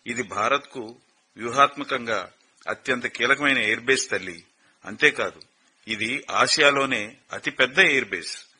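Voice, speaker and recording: An actor playing a grave male officer who breaks hard news gently over the phone in a radio play. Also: mid-range voice, slightly rough. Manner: measured, gentle, calls the girl "mother" fondly. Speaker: officer on a phone call, colleague of the father. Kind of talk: radio drama acting. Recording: radio broadcast.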